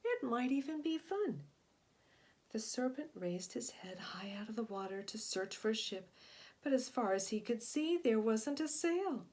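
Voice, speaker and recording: A woman storyteller addressing young children, introducing and reading a picture book in an expressive, explanatory way. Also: fairly high pitch, normal speed. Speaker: children's read-aloud storyteller.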